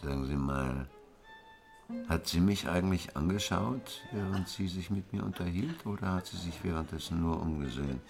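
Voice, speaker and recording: An actor playing an urbane, inquisitive gentleman in a radio drama, courteous and teasing. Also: sits low, moderate pace, creaky, music in the background. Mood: probing.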